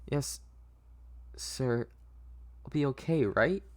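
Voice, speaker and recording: Man voicing a frightened, high-pitched young woman. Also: slow, lightly acted. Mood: anxious, shaky. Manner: hesitant, stammering, pleading. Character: frightened, anxious young woman patient being turned into doll.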